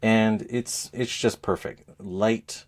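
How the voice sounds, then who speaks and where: Guy with a low voice doing casual podcast chat, kinda halting, hunting for words. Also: steady tone, darkish tone. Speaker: podcast co-host. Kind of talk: casual chat about gadgets.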